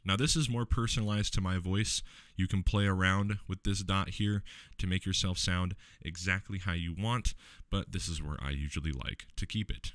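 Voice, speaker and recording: A man with a deep, low voice walking viewers through a software tutorial step by step, relaxed, with the odd filler. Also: moderate pace, dry recording, clean studio sound. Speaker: tech tutorial host. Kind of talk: screen-recorded software tutorial.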